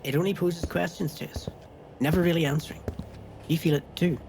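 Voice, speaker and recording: A man playing a mild, enigmatic, pensive stranger with a soft-spoken, musing manner in an audio drama. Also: on the high side, fairly even intonation, fairly quick, gravelly, noisy background.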